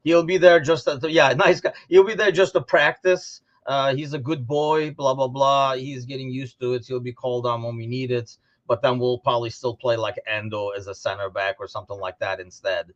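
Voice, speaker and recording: A man co-hosting a casual sports podcast, talking informally and thinking out loud as he discusses. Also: mid-range voice, flat delivery, moderate pace, hardly any pauses, highs cut off. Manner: informal, conversational, thinking aloud. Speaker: podcast co-host.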